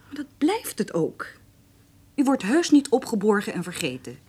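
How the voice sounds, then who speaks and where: Hese, smekende, bezorgde vrouw. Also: female voice, on the high side, sing-song, moderate pace, darkish tone, slightly rough, full character voice. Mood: pleading. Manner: insistent, pleading. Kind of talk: radio drama acting.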